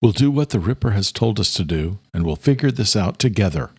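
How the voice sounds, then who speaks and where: Man playing a gravelly, resolute brother. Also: on the low side, lively intonation, brisk, darkish tone, no echo, clean studio sound, voice pushed down. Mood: firm, reassuring. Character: grim, resolute brother taking charge of the hunt. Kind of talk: audiobook thriller dialogue.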